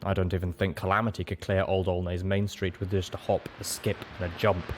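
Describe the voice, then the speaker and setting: Guy with a lowish voice reading a first person story out loud, audiobook style. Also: flat delivery, fairly quick, background noise. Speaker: narrator.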